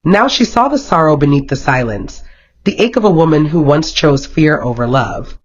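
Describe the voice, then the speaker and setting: A storyteller reading a scripted romantic folktale in a dramatic, expressive manner. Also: medium pitch, moderate pace, husky. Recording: dull, lo-fi sound.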